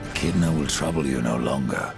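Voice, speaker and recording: An actor playing a grim, defiant, deathly warrior horseman in a video game, speaking curtly and with command. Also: fairly quick. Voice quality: gravelly, very deep. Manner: curt, commanding, dry. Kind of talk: dramatic video game cutscene acting. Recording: loud music behind the voice.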